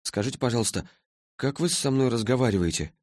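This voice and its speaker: Man as a puzzled young boy, earnest, hesitant, polite, lightly raised pitch, audiobook character, dry close sound. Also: lively intonation, quick pace, darkish tone, dry recording, lightly acted. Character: bewildered, polite schoolboy time traveller.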